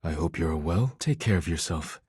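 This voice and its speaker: An actor playing a formal, proud and earnest man in a video game, speaking in measured, precise phrases. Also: low voice, animated, a little mellow, slightly rough, no room sound, clean studio sound, full character voice. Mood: tender, affectionate. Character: formal, proud, earnest man devoted to his work and art. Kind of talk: video game character voice acting.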